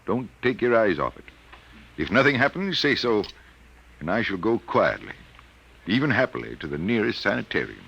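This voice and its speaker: An American actor playing a weary, troubled, absent-minded professor in a 1950s radio drama, speaking in hesitant, brooding, formal phrases. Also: male voice, fairly low pitch, very animated, normal speed, vocal fry, fairly bright tone, slightly rough, heavily acted. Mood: grave, confessional. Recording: muffled vintage 1950 radio recording.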